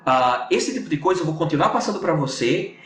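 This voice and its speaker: Echoey higher-voiced northeastern Brazilian man teaching. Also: expressive, a little fast, a bit bright. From Natal, northeastern Brazil. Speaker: English teacher. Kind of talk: casual online lesson chat. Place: indoors on a Skype video call. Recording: roomy echo with faint noise.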